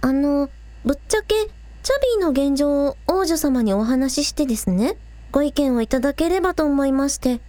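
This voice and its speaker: A woman's voice: high-pitched, animated, moderate pace, mellow, no room sound. A woman playing an earnest, anxious teenage village idol girl in an audio drama. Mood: hesitant, earnest. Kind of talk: Japanese audio drama acting.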